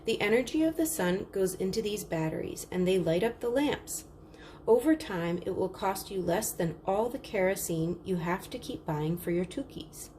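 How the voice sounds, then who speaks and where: A woman's voice: medium pitch, animated, moderate pace, darkish tone, lightly acted. A keen, persuasive man selling at a storybook market, played by a woman reading aloud. He explains his wares with enthusiasm. A faint noise runs behind the voice.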